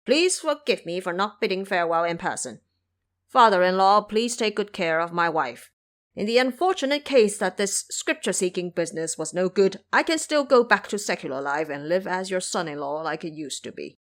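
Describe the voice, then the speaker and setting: A woman voicing a brash, grumbling male boar monster turned monk in an audio drama, loud and swaggering. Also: fairly low pitch, animated, normal speed, lightly acted.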